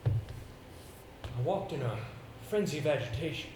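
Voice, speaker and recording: An echoey stage recording with some background noise carries a man acting a haunted, earnest gentleman. He tells his ghostly tale in deliberate, theatrical phrases that halt and repeat. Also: a little high, expressive. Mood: agitated, haunted. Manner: deliberate, dramatic phrasing with halting repetitions. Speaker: stage actor. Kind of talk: theatrical stage monologue and dialogue.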